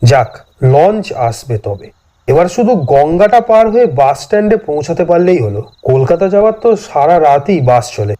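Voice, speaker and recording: Expressive higher-pitched male horror storyteller. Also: normal speed. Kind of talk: scripted first-person horror narration with dramatised dialogue.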